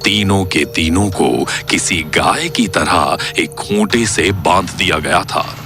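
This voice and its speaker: A man's voice: low-pitched, slow pace. A man narrating a horror audio story in a dramatic, scripted style. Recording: clear music bed under the voice.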